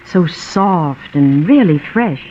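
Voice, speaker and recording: A woman's voice: low-pitched, lively intonation, slow pace, dark tone. An actress playing a friendly, admiring middle-aged mother in a 1940s radio commercial sketch. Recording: muffled vintage radio, faint noise.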